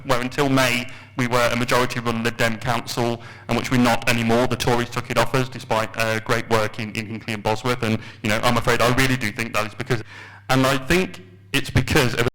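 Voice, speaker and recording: A former local councillor speaking from the floor in an earnest, plain-spoken way. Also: male voice, mid-range voice, little pitch movement, brisk, a bit bright, poor audio. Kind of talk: comment from the floor.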